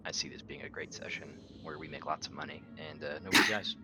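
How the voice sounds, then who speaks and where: A man's voice: extremely low pitch, fairly even intonation, quick pace. A man who plays in a tabletop game, casually introducing his character. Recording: dull lo-fi sound. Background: music.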